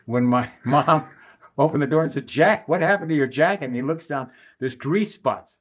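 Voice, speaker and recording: A man's voice: a little high, expressive, moderate pace. An older American man telling an anecdote casually in an interview. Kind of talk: casual storytelling.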